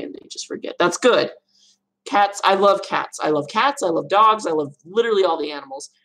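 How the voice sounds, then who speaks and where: A young woman with a notably deep voice and a gravelly edge of vocal fry. She chats loosely and rambles while answering viewer questions on a live stream from her room at home. She laughs often. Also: a bit bright, poor audio. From Arizona, USA. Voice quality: low voice. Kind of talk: casual live Q&A chat.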